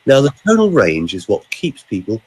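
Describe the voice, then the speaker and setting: Husky vocal coach with swooping pitch. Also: male voice, moderate pace, poor audio. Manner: animated, swoops between high and low pitch, demonstrates sounds. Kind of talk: demonstrating voice exercises on a podcast.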